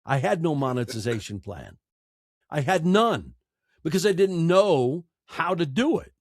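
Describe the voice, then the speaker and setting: A man's voice: a little high, big pitch swings, unhurried, dry recording, studio quality. A man speaking as a podcast guest, giving conversational advice emphatically and repeating phrases for effect.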